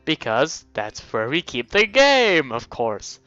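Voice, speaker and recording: A man's voice: fairly high pitch, huge pitch swings, slow, bright, forceful tone, clear, resonant tone, dry recording, soft background music, exaggerated voice. Man putting on a bubbly teasing schoolgirl, lo-fi mic. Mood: gleeful, teasing. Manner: cheerful, exaggerated. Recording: dull, lo-fi mic.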